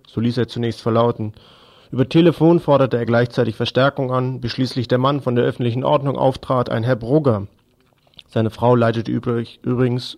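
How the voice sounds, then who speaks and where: A man's voice: medium pitch, darkish tone. Man presenting radio news with commentary. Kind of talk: news report, partly free commentary.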